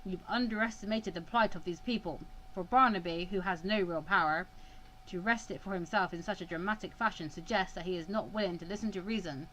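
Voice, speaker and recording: A woman's voice: fairly low pitch, fairly bright tone, slight background noise, close to an everyday voice, poor audio. Woman reading as a cold, lecturing male mediator. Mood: stern, resolute. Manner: measured, formal, lecturing. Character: cold, self-assured male senior mediator. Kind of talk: reading visual novel dialogue aloud.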